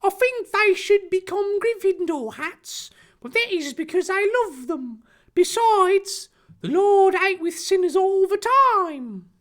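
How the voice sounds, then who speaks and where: Very high, preachy boy hero. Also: lively intonation, slow pace, exaggerated voice. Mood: bold, pious. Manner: indignant, declamatory. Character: earnest, pious, preachy teenage boy hero.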